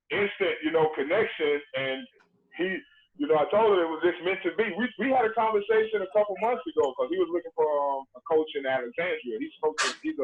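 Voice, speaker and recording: A man, telling stories in a relaxed, earnest way with lots of "you know" fillers, heard over a remote call. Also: a little high. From Dublin, Georgia, USA. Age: late 30s. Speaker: guest, former basketball player. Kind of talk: casual storytelling conversation. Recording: tinny phone-like call line with faint noise.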